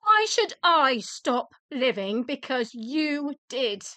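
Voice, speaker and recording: A woman's voice: high voice, sing-song, slow, bright, forceful tone, no room sound, heavily acted. A woman with a British accent playing a weary, resentful, blunt and sardonic widow in a radio play. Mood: exasperated, pointed.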